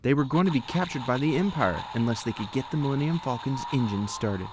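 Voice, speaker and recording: Guy narrating a kids style storybook script, mid pitch voice, noticeable background noise. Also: animated, brisk. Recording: clear background noise, clicks.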